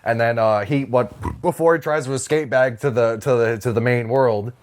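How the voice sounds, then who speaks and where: Man, medium pitch, casual comedy podcast co-host, halting riffs, sweary. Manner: halting, riffing, swears freely.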